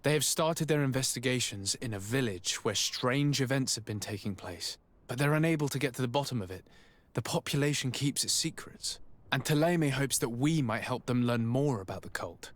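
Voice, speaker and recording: Actor as mid-pitched, composed noble emissary. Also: male voice, lively intonation, close to an everyday voice. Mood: matter-of-fact. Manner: formal, polite, measured. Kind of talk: video game cutscene acting.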